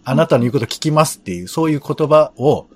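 Husky man with very animated intonation. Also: a little high, fast talker. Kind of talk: casual explanatory chat.

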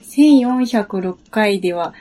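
A woman's voice: medium pitch, lively intonation, slow, mellow, slight background noise. A woman speaking as a guest in casual chat, hesitantly piecing her thoughts together. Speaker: talk show guest.

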